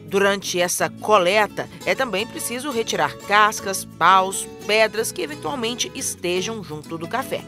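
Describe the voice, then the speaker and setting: A woman reading a scripted instructional voice-over in a clear, even manner. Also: mid-range voice, a little slow, few pauses. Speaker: narrator. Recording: music under the voice.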